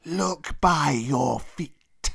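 Old male cobra, storybook villain, very slow drawl, raised pitch, proud, menacing, ominous. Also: animated, gravelly, a bit bright, breathy edge, full character voice. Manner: slow, drawn-out, ominous delivery. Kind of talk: storybook character voice.

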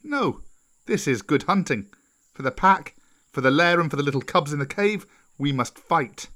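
A man's voice: fairly high pitch, expressive, normal speed, a bit bright. A man voicing a bold, fervent young man raised by wolves in a children's storybook. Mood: rousing, defiant.

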